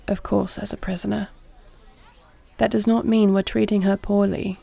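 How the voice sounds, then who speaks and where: A woman playing a duty-bound warrior queen and tender wife in an intimate audio roleplay, speaking in a measured, earnest, formal way. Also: medium pitch, level tone, vocal fry, dark tone, slightly rough, dry recording. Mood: grave, measured. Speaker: voice actor.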